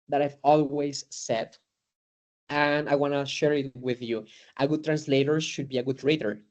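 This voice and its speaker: A man's voice: mid-range voice, darkish tone. A man whose first language is Spanish gives an informal lecture to students in English, with many fillers, restarts and "no?" tag questions.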